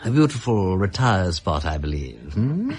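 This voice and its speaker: Radio drama casting: wry, urbane English aristocrat, upper-class accent, flowery and pompous, hugely animated intonation. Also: male voice, deep voice, a little slow, slightly rough, heavily acted. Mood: mock solicitous. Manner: pompous, flowery, drawling mock-courtesy. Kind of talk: British radio drama acting.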